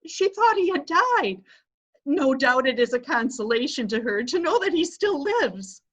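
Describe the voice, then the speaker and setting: A woman plays a brisk, worldly lady who lectures her friends and shrugs off men. Her voice is high and very lively, and it swoops as she talks. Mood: dismissive. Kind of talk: readers theatre play reading.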